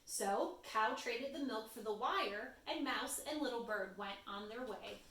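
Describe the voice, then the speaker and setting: A woman's voice: a little high, measured pace, fairly bright tone, a little hiss or hum. A woman reading a children's storybook aloud expressively, as a story-time reader. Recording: roomy echo, distant microphone.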